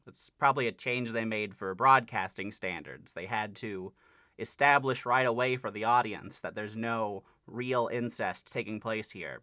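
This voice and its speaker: Man, bright crisp-edged voice, casual explanatory host, conversational emphasis, dry close sound. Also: medium pitch, no echo. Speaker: host of a parody dub channel giving an update. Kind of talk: casual explanatory update to camera or mic.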